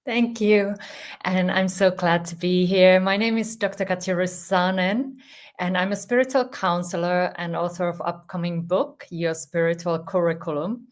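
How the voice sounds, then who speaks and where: A woman's voice: on the low side, fairly even intonation, moderate pace. A woman from Finland with a Finnish accent, a spiritual counselor introducing herself earnestly on a panel.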